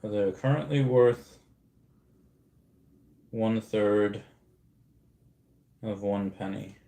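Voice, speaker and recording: A man in his thirties chats loosely on a livestream, starting sentences over and wandering between thoughts. His voice sits a little low, and the room gives it a noticeable echo. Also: drawn-out words, vocal fry, dark tone. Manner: rambling, many restarts, laughs at comments. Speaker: streamer host. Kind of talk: casual livestream chat reading comments.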